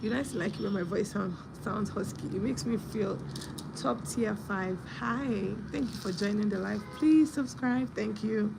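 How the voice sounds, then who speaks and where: A young woman hosting a casual live stream, husky from a sore throat, telling a story and greeting viewers by name. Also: medium pitch, unhurried, background noise.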